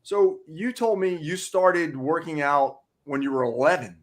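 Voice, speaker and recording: A man's voice: fairly high pitch, measured pace. A man hosting a fitness talk show who reacts with eager enthusiasm and keeps the conversation moving. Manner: enthusiastic, effusive interjections. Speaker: host. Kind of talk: casual chat.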